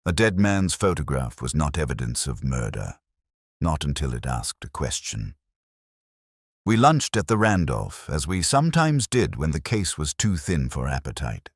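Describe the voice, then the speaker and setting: A man playing a very deep-voiced, brooding detective. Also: very animated, slightly rough, dry recording, clean studio sound, heavily acted. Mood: brooding, reflective. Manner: measured, reflective, literary phrasing. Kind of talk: scripted first-person detective narration, audio drama.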